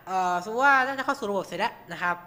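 A man's voice: high voice, expressive, very fast, bright, forceful tone. A man hosting an informal tutorial, talking through each step with frequent polite particles. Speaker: radio show host.

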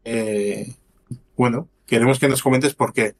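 Measured Galician podcast host. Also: male voice, mid-range voice, steady tone. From Galicia, Spain. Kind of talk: casual podcast chat.

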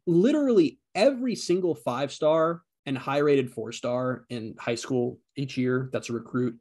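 A man in his twenties co-hosting a sports podcast, chatting casually in an animated, self-deprecating way. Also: medium pitch, breathy edge. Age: 20s. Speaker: podcast co-host.

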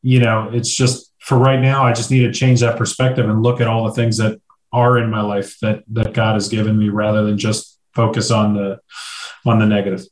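A man's voice: fairly low pitch, little pitch movement. Guy hosting a podcast, reflective, stops and restarts a lot, sounds echoey. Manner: thoughtful, halting, restarts his sentences. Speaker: host. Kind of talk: casual podcast chat.

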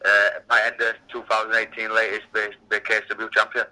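A man's voice: on the low side, completely flat delivery, a little fast, pushed and bright, rough recording. A man of thirty with a Yorkshire accent, a fighter chatting as a guest, often repeating words as he restarts sentences. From England. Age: 30s. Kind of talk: casual phone interview.

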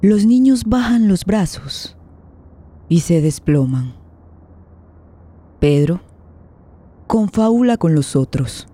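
A woman's voice: fairly low pitch, expressive, takes it slowly, pauses often, dark tone. A woman delivering dramatic scripted narration for a radio play.

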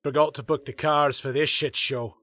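A man playing a cocky, defensive political staffer in a comedy drama, excitable and indignant. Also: a little high, moderate pace, close to an everyday voice. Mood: wry, glib. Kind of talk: fast comedy audio drama dialogue.

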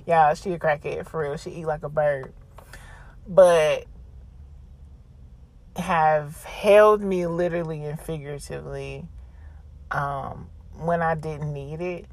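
A woman's voice: sits low, steady tone, takes it slowly, pauses often, darkish tone. A woman podcast host chatting casually and confessionally, blunt, slangy and freely swearing.

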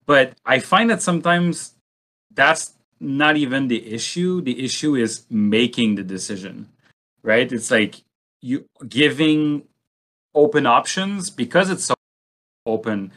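A man in a casual discussion, speaking haltingly and thinking aloud as a panelist. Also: medium pitch, animated, fairly bright tone.

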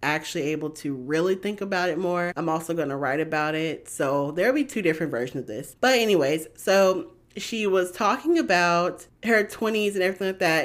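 A woman in her twenties hosting a podcast on her own, talking in a rambling, chatty way with lots of "like" and "you know.". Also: lowish voice. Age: 20s. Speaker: podcast host. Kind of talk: casual solo podcast chat.

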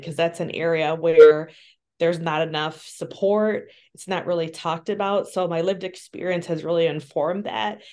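A woman with a low voice gives an earnest, candid interview. She talks frankly about hard subjects, often pausing with "um" and restarting her sentences. She sounds like she is on a remote call. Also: a little mellow. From Colombia. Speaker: interview guest, social worker.

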